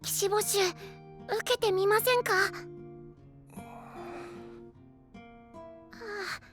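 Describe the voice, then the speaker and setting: A man's voice: very high-pitched, steady tone, extremely slow, fairly bright tone, heavily acted. A man playing a small, childlike boy knight in a fantasy audio drama, polite, eager and easily flustered. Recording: voice over background music.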